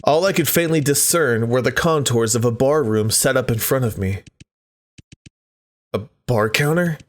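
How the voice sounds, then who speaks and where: A man's voice: mid-range voice, moderate pace. A man doing a let's play, dramatically reading visual novel text aloud and breaking off for joking asides. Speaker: gaming video host.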